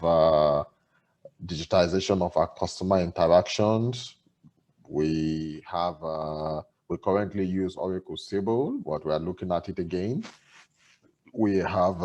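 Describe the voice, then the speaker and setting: Low-voiced executive, measured. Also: male voice, a little mellow. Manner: measured, explanatory, with fillers and restarts. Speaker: bank executive. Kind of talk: panel conversation.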